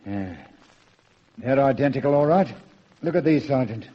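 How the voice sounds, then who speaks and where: A man's voice: mid-range voice, expressive, normal speed, lightly acted. A man playing a brusque, irritable senior police officer, curt and authoritative, in vintage radio drama style. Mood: businesslike. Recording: muffled old radio drama recording.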